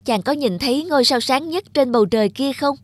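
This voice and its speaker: A woman playing a gentle, devoted young fairy maiden in a cartoon fairy tale, speaking politely and earnestly. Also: high voice, expressive, a little fast, no room sound. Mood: tender, wistful. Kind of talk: animated fairy tale acting. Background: background music.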